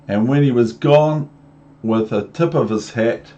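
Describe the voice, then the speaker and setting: Kiwi guy reading a kids story slowly, chatty asides, kinda muffled noisy home recording. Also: medium pitch, mellow. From New Zealand. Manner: rhythmic, repetitive, talking down to young listeners with questions. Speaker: storyteller reading a picture book aloud to young children. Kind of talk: read-aloud children's story with chatty asides.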